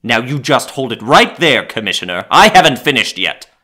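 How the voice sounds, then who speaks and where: A man's voice: mid-range voice, big pitch swings, moderate pace, bright, forceful tone. A man playing a pompous, combative big-city mayor in an audio drama, lecturing and domineering. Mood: indignant. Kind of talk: fan-made audio drama acting.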